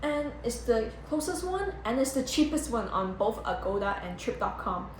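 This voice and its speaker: A young woman vlogging casually as she tours a budget hotel, chatty and excitable, full of "like"s and giggles, filmed on a handheld phone. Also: fairly high pitch, moderate pace. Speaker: travel vlogger. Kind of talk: casual travel vlog. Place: budget hotel room and entrance. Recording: echoey room with loud background noise.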